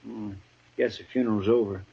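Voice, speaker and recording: An actor playing a loyal, deferential frontier deputy in a radio western. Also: male voice, on the low side, steady tone, a little fast, gravelly, slightly rough. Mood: wistful, uneasy. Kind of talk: 1950s radio western acting. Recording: dull, muffled old radio broadcast.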